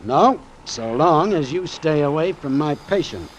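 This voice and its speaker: An actor playing a brisk, authoritative ship's doctor in an old-time radio drama, matter-of-fact and clipped. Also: male voice, fairly high pitch, lively intonation, poor audio. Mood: curt, firm. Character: brisk, authoritative, dry-witted male ship's doctor, narrating.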